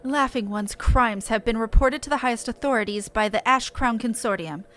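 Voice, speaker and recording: A woman's voice: a little high, moderate pace, fairly bright tone, a little hiss or hum, lightly acted. A woman voicing a stern, formal lawkeeper creature in a game, accusing in stilted third-person speech.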